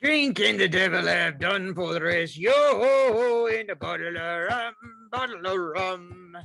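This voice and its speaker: Man doing a gravelly, high drunken pirate. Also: animated, unhurried, sharp tone, a little hiss or hum, full character voice. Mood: drunken, rowdy. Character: rowdy drunken pirate craving rum.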